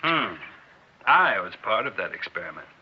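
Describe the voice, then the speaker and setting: A man's voice: lowish voice, big pitch swings, moderate pace. An actor playing an intense, embittered man in a 1960s radio drama, emphatic and challenging. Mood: bitter, indignant. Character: intense, embittered non-scientist man with a grudge. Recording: muffled, noisy old radio recording.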